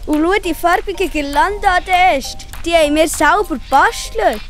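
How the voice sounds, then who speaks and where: Woman voicing a very high little boy, Swiss-accented. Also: normal speed, faint music behind. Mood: excited. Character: Hops, friendly, loyal little boy. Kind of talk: children's audio play acting.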